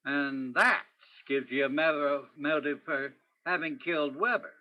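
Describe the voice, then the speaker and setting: A man's voice: on the high side, steady tone, a little slow, dull audio, close to an everyday voice. A man playing a probing, wry police detective in an old-time radio crime play, with terse, hard-boiled questioning. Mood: pressing. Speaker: student or guest stage actor in radio play.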